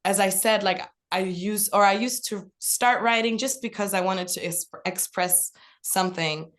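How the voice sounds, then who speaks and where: A woman's voice: mid-range voice, normal speed. Young German woman author talking casually. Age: young adult. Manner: relaxed, many fillers like "um" and "like". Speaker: author guest. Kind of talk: casual talk at a college event.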